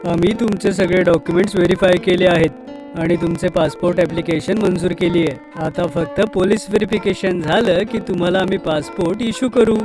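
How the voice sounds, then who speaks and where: A woman's voice: on the low side, fairly even intonation, brisk, voice pushed down, close to an everyday voice. A woman voicing a patient, reassuring passport official in a children's story, formal and explanatory. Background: music.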